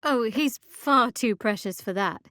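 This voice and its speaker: A woman's voice: fairly high pitch, expressive, unhurried, pushed and bright, no room sound. An actress voicing a guarded, sardonic young woman cleric in a fantasy video game, with a dry, wry and reserved delivery. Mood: wry, teasing. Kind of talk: video game character voice acting.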